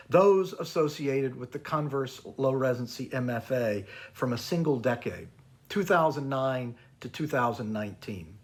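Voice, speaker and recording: Male academic presenter, mid-pitched voice, formal prepared remarks in an editor's introduction. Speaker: academic program director and anthology editor.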